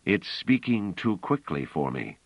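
A man's voice: sits low, sing-song, unhurried, husky, dry recording, heavily acted. A man reading aloud, voicing a mild, hesitant scholarly man in a manner close to his own. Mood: anxious. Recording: dull, dry studio audio.

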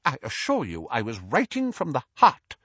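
A man voicing a stiff, loyal elderly wizard gentleman in a storybook, wheezy and full of wounded dignity. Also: mid-range voice, huge pitch swings, normal speed, breathy edge, no room sound, dull audio, voice pushed up, exaggerated voice. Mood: coldly offended.

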